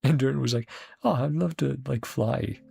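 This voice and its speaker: A woman's voice: rumbling low, normal speed, creaky. A woman giving casual reaction commentary over a video game, musing aloud and trailing off mid-thought, speaking into a close mic. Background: game music.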